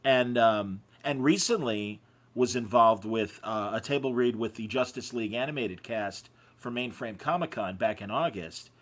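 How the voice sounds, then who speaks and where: A man's voice: mid-range voice, moderate pace. A man hosting a podcast in casual conversation, telling anecdotes with enthusiasm. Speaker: podcast host. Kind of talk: casual chat.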